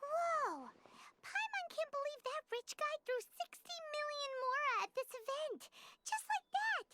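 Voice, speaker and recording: A woman voicing a tiny, excitable, childlike female fairy companion in a video game, exclaiming as she talks. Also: extremely high pitch, unhurried, heavy background noise, exaggerated voice. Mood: amazed. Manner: exclaiming, refers to self in third person. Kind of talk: video game character acting.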